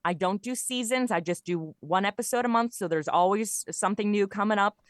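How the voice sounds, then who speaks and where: A woman's voice: mid-range voice, fairly quick, no room sound, studio quality. A middle-aged woman, chatting casually and jokily as an interview guest. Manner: chatty, jokey, informal. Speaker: podcast guest, comedy writer and audio performer.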